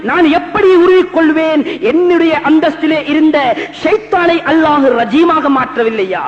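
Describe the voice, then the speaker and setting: A male preacher delivers an Islamic sermon in an extremely high-pitched voice. His delivery is dramatic and urgent, built on repetition, rhetorical questions and recited Arabic verses. The recording is dull and muffled, with long hall reverberation around every phrase. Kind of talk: impassioned Islamic sermon with Arabic scripture quotations.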